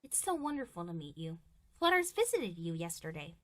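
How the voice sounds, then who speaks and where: A woman voicing a gracious, friendly pony princess in an animated fan drama, polite and welcoming. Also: lowish voice, fairly quick, no room sound, studio quality.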